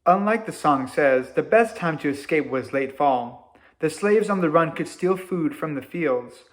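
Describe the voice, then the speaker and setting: A man reads aloud to children as a teacher would. His voice sits a little high and he goes very slowly, with deliberate, expressive emphasis on the words. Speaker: teacher reading a story to children. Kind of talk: read-aloud storytelling for children.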